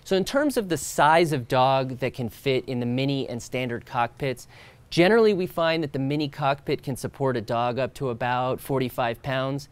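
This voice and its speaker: A relaxed man in his thirties explains a product outdoors, talking at an easy pace with the odd "uh" and restart. His voice sits at a middle pitch, and the sound is dry and close with no room echo. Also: moderate pace, clear, resonant tone. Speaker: company co-founder presenting product. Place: outdoors by a stone wall.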